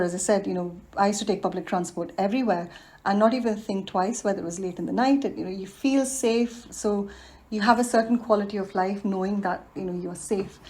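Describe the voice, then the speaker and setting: Woman, 40s, from India, reflective, frequent laughter, casual podcast guest. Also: mid-range voice. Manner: warm, reflective, laughs often, many fillers. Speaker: guest, environmental design professional. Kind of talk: conversational podcast interview.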